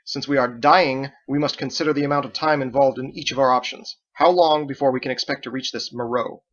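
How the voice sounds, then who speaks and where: A man's voice: mid-range voice, steady tone, fairly quick, fairly bright tone, highs cut off, voice pushed up, close to an everyday voice. A man voicing a stern, commanding woman, a ship's first mate, with clipped, formal speech for an audiobook. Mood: insistent. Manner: clipped, formal, declaiming like in court.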